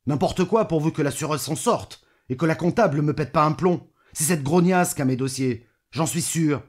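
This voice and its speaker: Corrupt mayor played by a man: fairly high male voice, bullying, scornful, quick to swear. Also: a little mellow, natural voice. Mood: irritated, scornful. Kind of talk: audio fiction acting.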